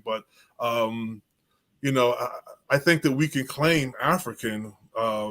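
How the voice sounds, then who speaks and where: A man speaks as a guest on a casual talk show in a mid-range voice. He is measured and a little hesitant, pausing on fillers and restarting as he shares research tips. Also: medium pitch, a bit bright. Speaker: genealogist guest. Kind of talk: casual conversation.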